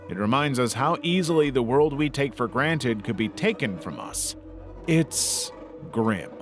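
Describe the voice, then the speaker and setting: A man narrating a scripted documentary-style piece in a measured, serious way. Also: mid-range voice. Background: background music.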